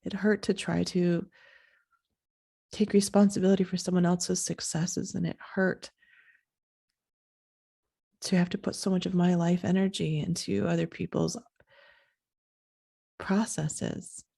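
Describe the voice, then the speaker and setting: A woman hosting a podcast in a soft, sleepy voice, talking in a slow, halting, reflective way. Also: lowish voice, flat delivery, vocal fry, husky. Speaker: podcast host.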